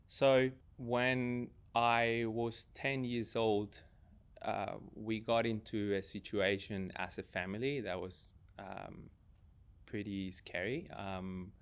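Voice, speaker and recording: Young male podcast guest, Argentine Spanish accent, fairly low voice, reflective casual talk. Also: fairly even intonation, slow pace, clean tone. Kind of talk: casual, reflective personal conversation.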